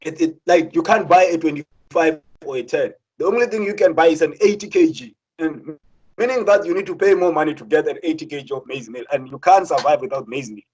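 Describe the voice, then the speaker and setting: A man's voice: fairly high pitch, a little fast, darkish tone, slightly rough, dull audio. A young South African man speaking earnestly, with many repeated words and restarts, as a student representative. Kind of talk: impassioned spoken talk.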